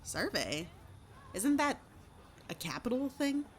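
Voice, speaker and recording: A woman's voice: a little high, sing-song, takes it slowly, a little hiss or hum. An actress playing a plainspoken, incredulous village woman in an audio drama. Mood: grateful, curious.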